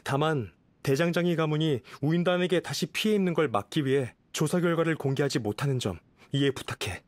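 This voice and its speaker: An actor playing a courteous young noble official in a game, composed and reassuring, speaking in a measured, formal way. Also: male voice, fairly high pitch, dry recording, natural voice. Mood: grave, explanatory. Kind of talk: game voice acting. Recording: music behind the voice.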